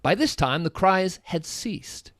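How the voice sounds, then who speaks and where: An actor playing an astonished gentleman, a detective's companion, in a radio drama with a theatrical, emphatic delivery. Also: sits high, expressive, takes it slowly, no echo, clean studio sound, full character voice. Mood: dramatic reading. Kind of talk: live radio drama acting.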